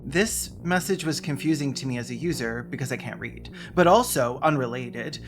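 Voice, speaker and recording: A video essayist narrating a script with sarcastic, deadpan comic asides. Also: mid-range voice, moderate pace, background noise. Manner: sarcastic, wry deadpan jokes.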